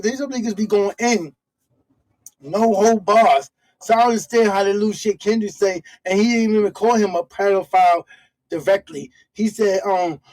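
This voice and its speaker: A man's voice: sits high, a little mellow, rough recording. A man with a New York accent from Harlem and the Bronx, giving blunt, profane reactions as he chats casually about rap. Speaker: reaction show co-host.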